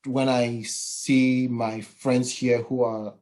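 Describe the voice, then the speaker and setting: A man's voice: mid-range voice, flat delivery, a little mellow. Man hosting a chat. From Nigeria. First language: Yoruba. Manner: halting, many fillers and restarts. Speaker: podcast host. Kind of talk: casual interview chat.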